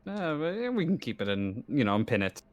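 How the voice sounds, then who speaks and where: Halting male tabletop game master. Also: a little high, sing-song, lo-fi sound. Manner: halting, repeats and corrects phrases. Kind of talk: improvised game narration and table chat.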